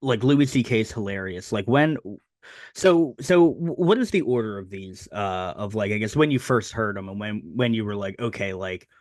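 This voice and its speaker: A man with a New Jersey accent hosting a casual podcast interview, asking questions haltingly with many fillers and restarts. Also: on the low side, animated, dry recording, studio quality. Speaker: podcast host.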